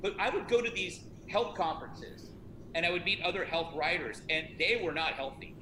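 A middle-aged man talks casually and self-deprecatingly, restarting his sentences often. His voice sits a little high. The recording is echoey, with a clear noise bed underneath. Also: animated, normal speed. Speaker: author promoting a self-help book. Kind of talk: casual conversation. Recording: echoey room with noticeable noise.

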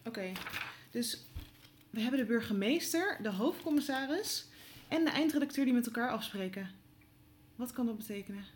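A woman's voice: on the high side, expressive, moderate pace, long pauses, a little hiss or hum, natural voice. An actress playing an eager young woman reporter in an audio drama, hesitant and polite but persistently probing. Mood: probing, puzzled. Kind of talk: naturalistic audio drama dialogue.

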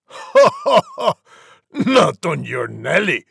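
A greedy, self-satisfied big bad wolf from a storybook, acted out by a man. The voice is husky and crackles with fry, drawing out its gloating menace with relish. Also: fairly high pitch, sing-song, takes it slowly, a bit bright, no room sound, clean studio sound, pitched above the performer's own voice, full character voice. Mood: mocking. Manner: gloating, savouring his words. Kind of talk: animated storybook character acting.

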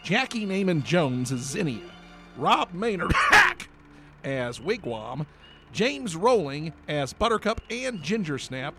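A man's voice: fairly high pitch, big pitch swings, slow pace, loud music behind the voice, exaggerated voice. A man playing an indignant, huffy friend and announcer in a comic radio play, theatrical and exasperated. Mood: grudging announcing. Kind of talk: comic radio play acting, credits reading.

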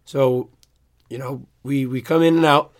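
A man co-hosting a sports podcast with a hoarse voice, rambling and joking, often trailing off into a quiet mutter. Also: medium pitch, steady tone, a little slow. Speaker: podcast co-host. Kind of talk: casual sports chat.

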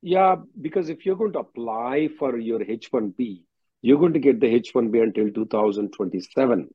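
A husky-voiced man giving direct, instructive legal advice as the attorney hosting a question-and-answer call. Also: mid-range voice, lively intonation, moderate pace, dry recording. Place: conference call, close dry sound. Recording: dull, muffled conference-call audio.

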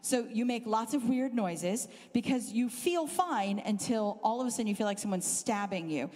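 Animated Southern Californian woman preacher. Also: fairly high pitch, moderate pace. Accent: Southern Californian, softened by years in the UK. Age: middle-aged. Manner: animated, self-deprecating, laughs often. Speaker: guest speaker. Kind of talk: humorous storytelling sermon. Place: church hall. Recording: hall reverb from a live church talk.

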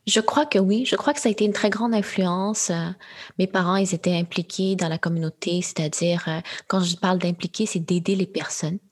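Young quebec woman, lowish husky voice, talks slow and thoughtful, lots of euh. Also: steady tone. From Quebec, Canada. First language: Khmer. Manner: thoughtful, measured, with frequent fillers. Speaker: guest, AI entrepreneur. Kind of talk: interview conversation.

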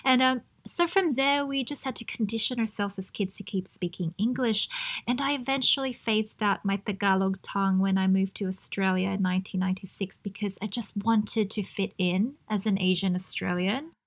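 A woman from the Philippines talking in a relaxed group conversation. Her pitch sits mid-range, and she speaks reflectively. Light laughter runs through her speech. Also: moderate pace. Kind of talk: casual chat.

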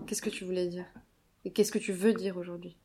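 A woman's voice: lowish voice, little pitch movement, a little fast, dark tone, a little hiss or hum. A woman asking conversational questions as an interviewer.

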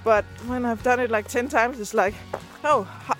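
Female vlogger, native Danish speaker with Danish accent, slightly high pitch, informal and searching for words, faint music present. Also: fairly even intonation, normal speed, low-quality recording. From Denmark. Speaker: video and podcast creator. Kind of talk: casual vlog chat. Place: outdoors, on a walk. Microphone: handheld device at arm's length.

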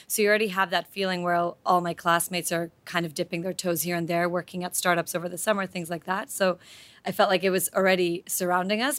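A woman from Slovakia, a start-up founder, answering interview questions in a quick, informal way. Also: fairly low pitch, fairly bright tone, dry recording. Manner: quick, informal, uses "kind of" often. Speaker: MBA student, start-up founder.

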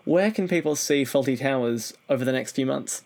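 A young adult man with a mid-pitched voice. He speaks as a podcast host, conversational but presenting to listeners. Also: a little fast, a little mellow.

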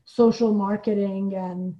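A woman's voice: medium pitch, fairly even intonation, measured pace, highs cut off. A woman from Iran speaking thoughtfully and hesitantly as a guest in a conversational interview. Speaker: guest, playwright and theater artistic director.

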